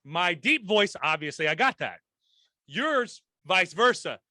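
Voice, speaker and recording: A man's voice: sits high, big pitch swings, a little slow, sharp tone, no echo, clean studio sound. A man hosting a casual podcast chat, full of crude jokes and frequent laughter. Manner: crude, joking banter, laughing often. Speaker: podcast host.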